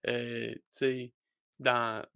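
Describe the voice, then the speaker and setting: A man's voice: medium pitch, little pitch movement, drawn-out words, gravelly, fairly bright tone, smooth, dry recording, clean studio sound. A young man with a Québécois French accent, speaking as a wrestler guest in a casual podcast interview, hesitant with many restarts. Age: young adult.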